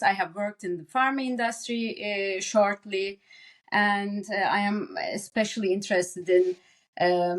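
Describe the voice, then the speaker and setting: A woman from Turkey with a Turkish accent, giving conversational interview answers as a psychiatrist, often halting and repeating herself as she searches for words. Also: mid-range voice. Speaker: psychiatrist, podcast guest.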